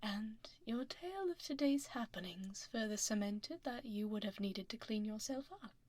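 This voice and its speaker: A woman playing a powerful, composed dragon executive in an ASMR roleplay, speaking softly and breathily up close with a poised, gently commanding manner. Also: fairly high pitch, expressive, normal speed, no echo. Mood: composed, teasing. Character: powerful composed female dragon executive boss, teasing, exacting. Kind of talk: soft-spoken ASMR roleplay. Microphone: close binaural microphone. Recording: dry close binaural studio recording.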